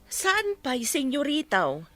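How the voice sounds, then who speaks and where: A woman's voice: high voice, wildly swooping pitch, slow, a bit bright, full character voice. A woman playing a talkative, cheeky housemaid with an Ilocano accent in a comedy radio drama. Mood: chatty, deferential. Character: sassy, talkative housemaid, respectful yet cheekily outspoken.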